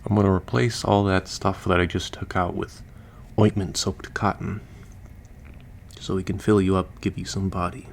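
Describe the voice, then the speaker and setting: A man doing an ASMR-style roleplay as a mortician for zombies, talking in a soft, unhurried way that is partly whispered and gently rambling. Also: low-pitched, little pitch movement, vocal fry, slight background noise. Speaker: audio roleplay performer playing a zombie mortician.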